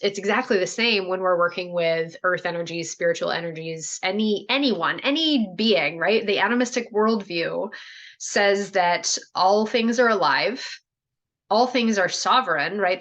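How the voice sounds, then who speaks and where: A woman in a shaman and spiritual mentor role, teaching a group over a video call in a casual, animated way, with many "like" and "right?" asides. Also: mid-range voice.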